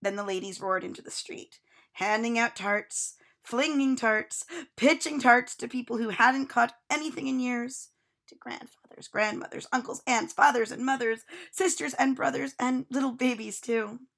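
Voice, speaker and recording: A woman reading a children's storybook aloud with expressive narration. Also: fairly high pitch, moderate pace, vocal fry.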